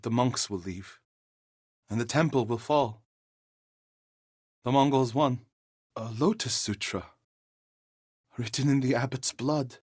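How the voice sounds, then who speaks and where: A solemn, devout warrior monk from a video game, with a husky, mid-pitched voice. He speaks formally and earnestly, as a loyal man weighed down by grief. The recording is very dry, with no room sound. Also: no room sound. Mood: grieving, conflicted, then quiet, grave. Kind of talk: video game voice acting, dramatic dialogue.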